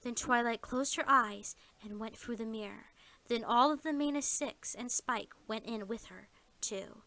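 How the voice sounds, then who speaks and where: A woman's voice: fairly high pitch, a little slow, sharp tone, low-quality recording. A woman narrating a fan fiction story as its storyteller.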